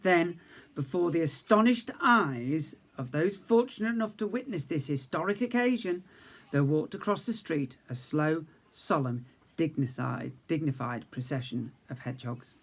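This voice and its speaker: A male teacher reading a storybook aloud to young children, lively, voicing characters higher and dropping to quiet asides. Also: normal speed. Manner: lively reading, raises pitch for characters' speech; self-corrects slips mid-sentence.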